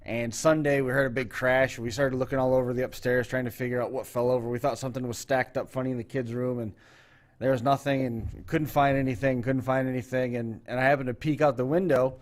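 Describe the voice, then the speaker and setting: A man hosting a talk show in casual chat, telling stories in an unhurried, rambling way. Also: mid-range voice, flat delivery.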